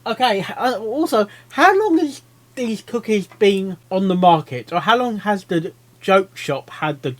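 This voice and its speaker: A man's voice: sits high, animated, unhurried. A man giving casual reaction commentary, rambling and often repeating himself as he thinks aloud. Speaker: reaction video host.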